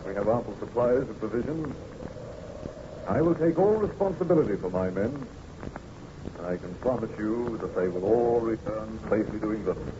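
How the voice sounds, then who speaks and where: An actor playing a steady, courteous ship captain in an old-time radio drama, speaking in a formal, measured, authoritative manner. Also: male voice, fairly low pitch, close to an everyday voice. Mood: concerned, resolute. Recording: dull, very noisy old radio recording.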